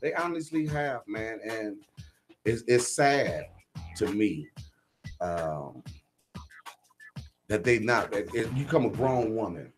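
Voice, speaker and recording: A middle-aged man with a husky voice talking earnestly and emphatically as a radio interview guest, often checking that he is understood. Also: on the low side, expressive, slow pace, pauses often, soft background music. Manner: emphatic, often seeking agreement with repeated check-in phrases. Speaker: guest, stage playwright. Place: radio talk show.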